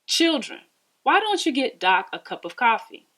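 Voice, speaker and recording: A woman's voice: high-pitched, big pitch swings, normal speed, fairly bright tone, voice pushed up, exaggerated voice. A woman voicing a kindly, generous male shoe-shop owner in a storybook, polite and folksy. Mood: welcoming, courteous.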